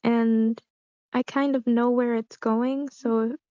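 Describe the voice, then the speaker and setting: A woman with a fairly high, smooth voice that stays very even. She chats informally, and the recording is a little dull and dry. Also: slow, dry recording. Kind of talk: casual chat. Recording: slightly dull audio.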